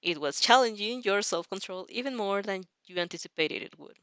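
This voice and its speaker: A woman's voice: medium pitch, lively intonation, a little fast, a bit bright, clean tone, dry recording. A woman reading fan fiction aloud expressively, with casual asides to her listeners. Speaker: reader and fanfic reactor. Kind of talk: expressive story narration with casual reaction asides.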